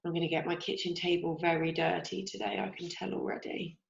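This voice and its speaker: A young woman teacher with a slightly croaky voice, gently leading a craft lesson for young children and asking them questions as she goes. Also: on the low side, little pitch movement. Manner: slow, encouraging, asks children questions. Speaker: primary school teacher. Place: home kitchen, indoors. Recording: roomy, dull lo-fi sound.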